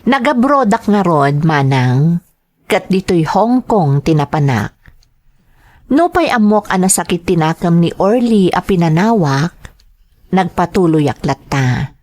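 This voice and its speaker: A woman's voice: fairly low pitch, darkish tone. A woman playing a devoted young daughter who works abroad for her family in a dramatized audio drama. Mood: reflective, narrating.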